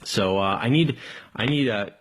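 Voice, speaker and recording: A man hosting a casual live call-in tech stream, rambling along in an informal, enthusiastic way with a slightly husky voice. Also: on the low side, measured pace, loud music behind the voice. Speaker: live tech show host.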